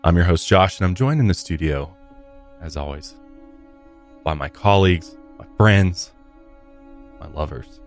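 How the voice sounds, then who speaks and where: An American man hosts a podcast in a casual, conversational way. His voice sits very low. Faint music plays behind him. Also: normal speed, long pauses. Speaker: podcast host. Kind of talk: casual podcast intro and chat. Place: podcast studio.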